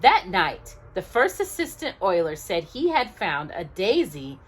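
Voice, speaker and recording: A woman reads a children's story in an expressive way. Her pace is slow and her pitch moves a great deal, with deliberate, drawn-out emphasis meant for young listeners. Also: slightly rough.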